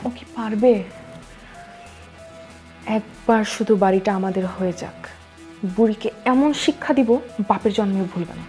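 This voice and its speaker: An actress playing a scheming, greedy daughter-in-law who scolds and nags in a melodramatic drama. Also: female voice, medium pitch, normal speed, long pauses, soft background music, natural voice. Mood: impatient. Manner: scolding, nagging, sharp-tongued. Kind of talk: melodramatic short drama acting.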